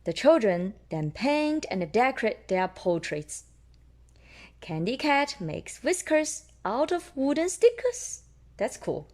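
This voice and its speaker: A woman's voice: on the high side, sing-song, slow pace, a little mellow. A woman reading picture books aloud for young children and explaining things simply. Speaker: storybook reader.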